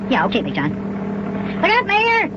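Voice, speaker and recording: A performer voicing a small, eager boy with a squeaky childlike voice in a children's radio serial. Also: huge pitch swings, normal speed, full character voice. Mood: eager, cheering. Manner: eager, exclamatory. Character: small excitable boy elf, eager and adventurous. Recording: noisy, muffled old radio recording.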